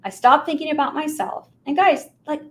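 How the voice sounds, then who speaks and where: A middle-aged American woman with a high voice, telling stories in an emphatic, informal motivational business presentation and addressing listeners directly. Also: moderate pace. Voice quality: high-pitched voice. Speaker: mortgage professional giving a talk on video marketing.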